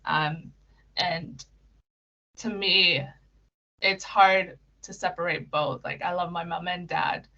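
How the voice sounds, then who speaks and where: A young woman from Kenya chats through interview questions, laughing easily and tripping over her own words. Her voice sits a little low, and the recording sounds muffled and lo-fi. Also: fairly even intonation, slow, long pauses, pushed and bright. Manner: giggly, many fillers and restarts. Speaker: interview guest. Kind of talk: casual interview answers.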